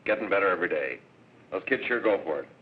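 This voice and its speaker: An actor playing a slangy, streetwise small-time drug peddler in 1930s film style, with a brisk manner. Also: male voice, sits low, expressive, slight background noise. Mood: deferential. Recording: tinny 1930s film soundtrack with music.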